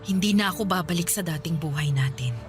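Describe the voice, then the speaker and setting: A woman's voice: on the low side, fast talker, lightly acted. A woman playing a middle-aged mother, apologetic but firm, in a radio drama. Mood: guarded, apologetic. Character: mother who left her family, middle-aged, guarded. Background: music.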